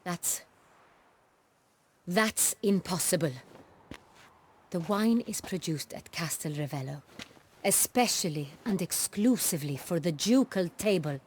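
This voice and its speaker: An actress playing a proud, strong-willed duchess in a fantasy game, speaking in a formal, commanding, regal manner. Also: female voice, on the low side, lively intonation, a little slow, long pauses, a little hiss or hum. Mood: insistent.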